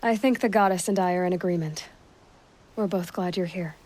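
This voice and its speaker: A woman's voice: lowish voice, a little fast, a little mellow, slight background noise, close to an everyday voice. A woman playing a plainspoken, guarded but kind young warrior in a video game, with a direct, understated manner. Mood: dry, warm. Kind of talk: video game cutscene acting.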